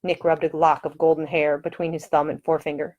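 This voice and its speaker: A woman's voice: low voice, level tone, a little fast, darkish tone. A woman reading her own novel aloud as scripted audiobook narration.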